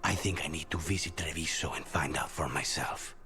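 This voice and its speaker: A man acting a guarded, brooding, quietly intense assassin in a fantasy video game. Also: a bass voice, brisk, soft background music. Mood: wary, hushed.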